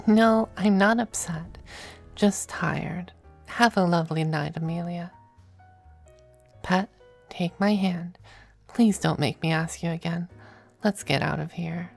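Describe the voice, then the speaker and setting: A woman playing a possessive, doting, androgynous male demon lover in an intimate ASMR roleplay, speaking softly and often slipping into whispers. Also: lowish voice. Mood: weary, tender. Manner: soft, breathy, unhurried, often dropping to whispers. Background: faint music.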